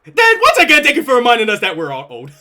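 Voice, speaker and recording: A young man streaming, reacting casually to a video, excitable and swearing freely. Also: extremely high pitch. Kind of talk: live reaction stream chat.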